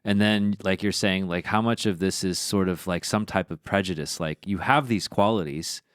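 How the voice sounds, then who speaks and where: A man's voice: low-pitched, normal speed, clear, resonant tone, dry recording, clean studio sound. A man hosting a podcast, chatting casually and thinking aloud with many fillers. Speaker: podcast host.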